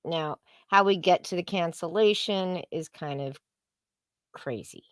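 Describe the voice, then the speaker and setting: A woman with a fairly low voice talks very slowly in a dry, close recording. She co-hosts a casual commentary stream and sounds wry and sarcastic, often leaving thoughts hanging in fragments. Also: no echo. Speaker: co-host of a comics commentary show.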